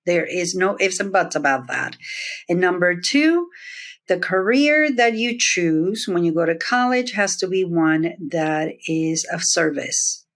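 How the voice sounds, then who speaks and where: Middle-aged woman, Puerto Rican-born, low voice, reflective, halting with fillers. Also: animated. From Puerto Rico, raised in New Jersey. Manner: warm, reflective, with frequent fillers and restarts. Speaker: nurse executive, guest. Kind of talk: interview conversation.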